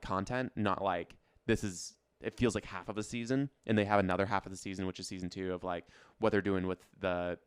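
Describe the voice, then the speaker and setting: A man's voice: fairly low pitch, clear, resonant tone, no echo. A man chatting casually on a podcast in loose, very informal phrasing. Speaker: podcast co-host. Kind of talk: casual chat about pop culture.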